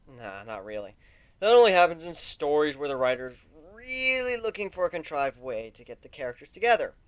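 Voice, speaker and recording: Man playing a wry, animated male pegasus. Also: fairly high pitch, a little fast, clear, resonant tone, dry recording. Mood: wry, teasing. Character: wry, teasing adult male pegasus pony, fond.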